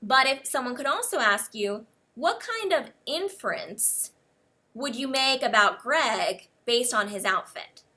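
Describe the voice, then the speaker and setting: Bright, slow-speaking American woman teacher. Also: a little high, expressive. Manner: slow, careful explanations, spells words out. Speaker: English teacher. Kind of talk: one-on-one English lesson.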